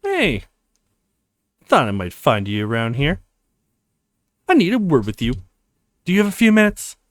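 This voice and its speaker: A man voicing an insistent, brisk investigator with urgent news in a visual novel, pressing and persuasive. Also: fairly high pitch, huge pitch swings, no echo, clean studio sound.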